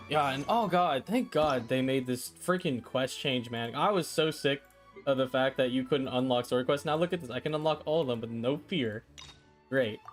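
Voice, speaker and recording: A man chats as the host of a game livestream. His voice is medium in pitch, and he rambles casually with plenty of slang. Game music is clearly audible behind him. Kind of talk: casual live game stream commentary.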